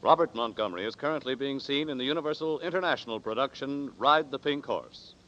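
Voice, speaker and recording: A man's voice: mid-range voice, fast talker, fairly bright tone, a little hiss or hum. A man working as a 1940s radio announcer, formally reading scripted announcements. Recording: dull 1940s radio broadcast recording.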